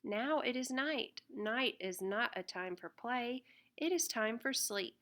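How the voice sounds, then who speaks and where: A woman reading a children's picture book aloud in an expressive, deliberate way. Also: fairly high pitch. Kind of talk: read-aloud children's storybook narration.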